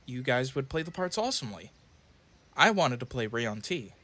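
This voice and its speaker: A man playing a soft, eager teenage boy in an audio drama, with a mild, hesitant, conciliatory way of talking. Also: medium pitch, sing-song, normal speed. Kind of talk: audio drama acting and narration.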